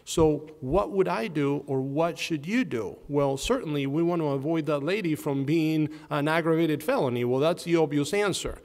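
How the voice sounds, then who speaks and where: Man, slightly high voice, non-Southern accent, earnest panel lawyer, rhetorical questions, reverberant hall. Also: moderate pace, few pauses, darkish tone. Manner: earnest, asks himself questions and answers them. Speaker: criminal defense attorney on a panel. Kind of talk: conference panel talk. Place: law school event hall.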